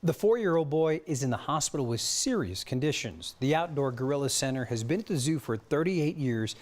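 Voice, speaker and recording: A man's voice: a little high, big pitch swings, brisk. A man with an American accent reading scripted news stories as a television anchor, with some banter.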